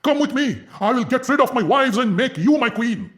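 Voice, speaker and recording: Man doing an arrogant general, leering, coaxing, high voice, swooping tone. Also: sing-song, moderate pace, fairly bright tone, heavily acted. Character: lustful arrogant male army general. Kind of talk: storybook character voice.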